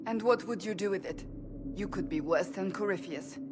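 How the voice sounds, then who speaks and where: A woman's voice: mid-range voice, moderate pace, noisy background, close to an everyday voice. A woman playing a stern, distrustful companion in a fantasy game, speaking bluntly and with suspicion. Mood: suspicious.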